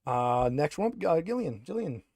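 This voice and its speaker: A man's voice: fairly high pitch, big pitch swings, normal speed, a little mellow. A man speaking casually as game master and host, introducing players on a tabletop game stream.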